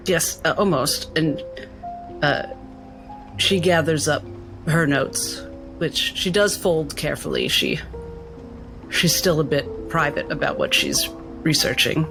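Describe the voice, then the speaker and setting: A man's voice: on the high side, expressive, slow, vocal fry, long pauses, low-quality recording. A man playing a tabletop game, narrating his character's actions in a hesitant, improvised way. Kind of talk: narrating own character's actions in third person. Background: music.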